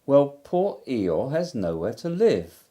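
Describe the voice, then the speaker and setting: A man voicing a cheerful, muddled and simple young bear in a storybook reading, slow and sing-song with humming. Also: medium pitch, sing-song, warm tone, pitched above the performer's own voice.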